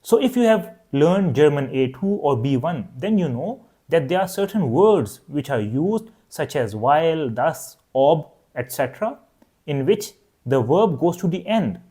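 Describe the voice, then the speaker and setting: Man, native Urdu speaker, young adult, online language teacher, clear steady explaining, slightly high voice, very lively intonation. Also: unhurried. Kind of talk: scripted online lesson.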